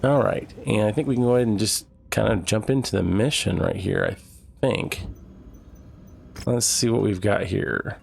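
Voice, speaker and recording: A man's voice: fairly low pitch, normal speed, vocal fry, slight background noise. A man with a cold, sounding nasal, casually commenting on his gameplay. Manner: relaxed, thinking aloud. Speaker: gaming channel host.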